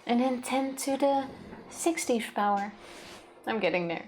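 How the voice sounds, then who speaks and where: A young Dutch woman co-hosting a game stream, bantering quickly and laughing often. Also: fairly high pitch, a little mellow, a little hiss or hum. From Netherlands. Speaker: let's play co-host. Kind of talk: casual gaming stream chat.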